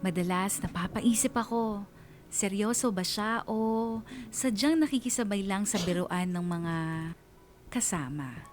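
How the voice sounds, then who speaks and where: A woman's voice: medium pitch, unhurried, over music, close to an everyday voice. A woman playing a lovestruck young woman confiding her story in dramatic narration, with a Filipino accent. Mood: wistful, puzzled. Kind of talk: scripted dramatic first-person narration.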